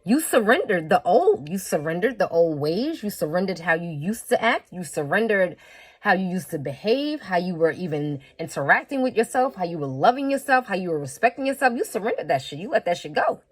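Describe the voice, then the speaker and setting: A woman's voice: lowish voice, very animated, a little fast, husky. A woman giving a casual tarot reading in a frank, blunt way. She swears freely, laughs in asides, repeats phrases for emphasis and sniffles from a slight head cold. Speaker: tarot reader.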